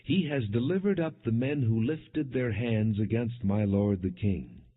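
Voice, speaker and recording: A man's voice: fairly low pitch, lively intonation, a little slow, husky, pitched above the performer's own voice. A man voicing an eager, deferential messenger in an audiobook scripture reading, earnest and formal. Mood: reverent. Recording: dull, lo-fi narrow-band audio.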